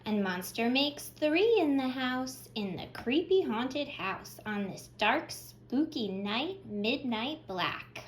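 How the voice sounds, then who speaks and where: High-pitched woman reading to young children. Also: slow pace, hardly any pauses, a little hiss or hum. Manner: expressive, addressing young children with simple questions and praise. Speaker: children's librarian, storytime host. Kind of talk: children's storytime read-aloud.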